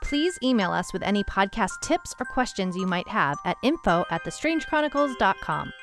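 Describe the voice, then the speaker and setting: A woman's voice: medium pitch, very animated, normal speed, loud music behind the voice. A woman co-hosting a podcast in casual conversation. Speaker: podcast co-host.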